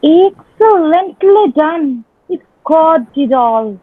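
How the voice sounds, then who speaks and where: A woman playing an ardent young gentleman messenger in a Shakespearean dramatic reading, declaiming in a drawn-out, courtly manner. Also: high voice, animated, no echo. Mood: admiring, frank. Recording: thin phone-like band, dry sound.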